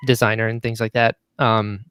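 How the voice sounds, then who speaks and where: A man's voice: on the low side, flat delivery, moderate pace, fairly bright tone, smooth, no room sound. A man chatting casually as an interview guest, enthusiastic and talkative, often chuckling as he goes. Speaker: guest, audio drama writer and actor.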